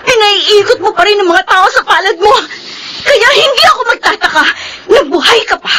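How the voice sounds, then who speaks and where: A woman's voice: extremely high pitch, lively intonation, normal speed. A woman playing a grieving, bitter mother in a melodramatic radio drama, with tearful, overwrought delivery. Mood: bitter fury. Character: grieving, anguished, bitter mother who lost her daughter. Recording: radio drama with music underneath.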